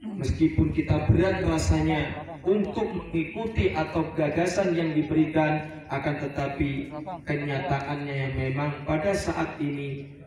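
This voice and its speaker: A man from Surabaya preaching a relaxed Eid sermon to a housing community, rambling at times and mixing in Arabic prayer phrases. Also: fairly high pitch, measured pace, crowd noise. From Surabaya, Indonesia. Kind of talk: Eid sermon to a residential congregation. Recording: very echoey, heard from a distance over a PA.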